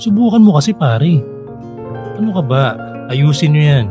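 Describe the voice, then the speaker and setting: Frank Filipino buddy, music behind. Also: male voice, a little high, big pitch swings, moderate pace. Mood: urging, firm. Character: frank, caring male friend giving firm advice. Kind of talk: Filipino radio drama acting.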